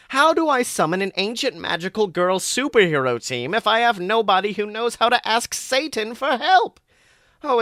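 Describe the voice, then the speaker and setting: A man voicing a fretful, petulant dark lord wizard who grumbles to himself. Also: high voice, no room sound, studio quality, pitched above the performer's own voice.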